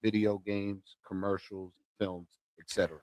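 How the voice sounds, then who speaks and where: A man's voice: low-pitched, flat delivery, normal speed, darkish tone, no room sound. A man hosting a live music review show, affirming his co-host and introducing the next guest and song.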